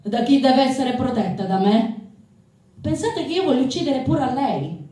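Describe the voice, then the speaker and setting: A woman's voice: high-pitched, lively intonation, normal speed, warm tone. A woman playing a male mafia killer, a defiant, possessive father, declaiming a theatrical courtroom confession. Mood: defiant, anguished. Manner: declamatory, emphatic. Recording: roomy stage, far from the mic.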